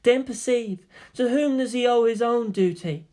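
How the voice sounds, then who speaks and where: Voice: mid-range voice, moderate pace, a little mellow, voice pushed up, lightly acted. A performer voicing a stern, wise alien seer with a formal, lofty way of talking. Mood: lofty reproach.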